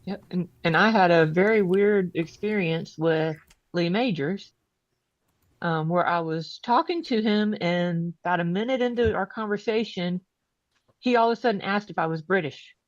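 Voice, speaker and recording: A woman with a Southern US accent tells a plain, matter-of-fact anecdote in a casual chat. Her voice is mid-pitched and dry, with a slightly dull, lo-fi sound. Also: normal speed, no room sound. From American South. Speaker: co-host.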